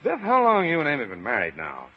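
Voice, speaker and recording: An actor playing a smug, bullying factory boss, self-important and slangy but cowardly underneath, in 1930s radio drama. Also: male voice, a little high, wildly swooping pitch, quick pace. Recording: muffled 1930s radio broadcast, reverberant hall.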